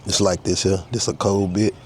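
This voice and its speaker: A low-pitched man with a drawl, stuffy from a head cold, talks his way through each step of a repair. He is easygoing and explains every tool as he goes, with faint birdsong and outdoor air behind him. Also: flat delivery, moderate pace, a little hiss or hum. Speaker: custom car restorer demonstrating a repair. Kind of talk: casual step-by-step how-to narration. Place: outdoor workshop.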